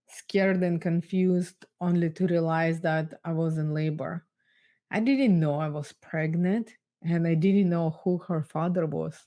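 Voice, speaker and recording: A woman with a Russian accent speaks low and unhurried. She tells her story earnestly, pausing often. Russian is her first language. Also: mellow. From Ukraine. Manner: earnest, measured storytelling with pauses. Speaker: guest speaker. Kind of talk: personal testimony in an interview.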